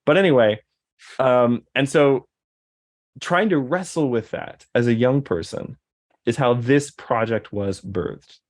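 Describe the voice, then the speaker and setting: A man's voice: mid-range voice, takes it slowly. A man in casual conversation, rambling, with many fillers and restarts. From American Deep South. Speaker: guest.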